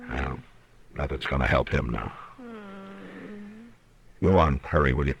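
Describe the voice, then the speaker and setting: An actor playing a grave, steady Old West lawman in an old radio western, speaking in measured, terse phrases with hesitant pauses. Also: vocal fry, highs cut off, slight background noise, full character voice. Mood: concerned. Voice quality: very deep voice. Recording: old radio drama recording.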